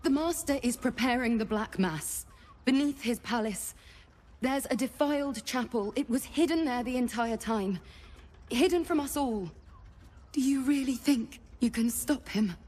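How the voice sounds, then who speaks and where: A woman's voice: on the high side, a little slow, darkish tone. An actress playing a desperate, defiant female vampire spawn in a fantasy game, urgent and pleading. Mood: bitter defiance. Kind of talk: cinematic fantasy game acting.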